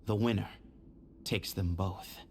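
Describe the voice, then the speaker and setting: An actor voicing a confident, shrewd young male leader in a video game, with a smooth, formal delivery. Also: low voice, steady tone, takes it slowly, darkish tone, full character voice. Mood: self-assured. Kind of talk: voiced game dialogue.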